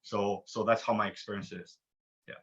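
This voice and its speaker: A man's voice: fairly low pitch, flat delivery, moderate pace, breathy edge. A man from Taiwan introducing himself on an online panel, often restarting and repeating words. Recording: dull lo-fi video call audio.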